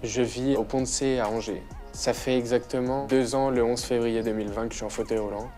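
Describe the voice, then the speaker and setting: A man's voice: mid-range voice, fairly even intonation, brisk, mellow, soft background music. A young man in his twenties telling his story in an interview, hesitant and full of fillers. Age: 20s. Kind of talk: hesitant personal testimony.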